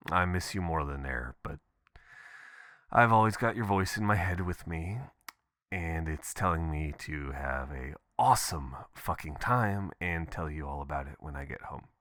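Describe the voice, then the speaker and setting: A man's voice: rumbling low, no room sound, studio quality, exaggerated voice. A man playing an adventurous, affectionate boyfriend leaving casual, playful voicemails in an intimate roleplay style. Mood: tender awe. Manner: casual, expressive, chuckling, swearing. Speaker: audio performer.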